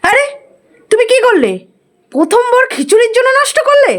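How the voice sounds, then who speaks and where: A nagging farmer's wife from a cartoon folktale, voiced by a woman. She is high-pitched and very animated in her intonation. She comes across as greedy, insistent and quick to scold. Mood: angry. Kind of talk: animated fairy tale acting.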